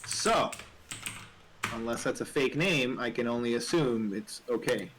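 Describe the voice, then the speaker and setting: A man with a medium-pitched voice chats in a loose, informal way. There is faint noise behind him, with keyboard typing and clicks. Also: lively intonation, measured pace. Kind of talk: casual chat.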